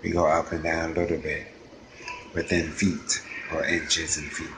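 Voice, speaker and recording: A man's voice: a bass voice, little pitch movement, background noise. An American man hosting his own show, delivering a rambling monologue full of ums and restarts. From United States. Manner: halting, with frequent ums and restarts. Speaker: host.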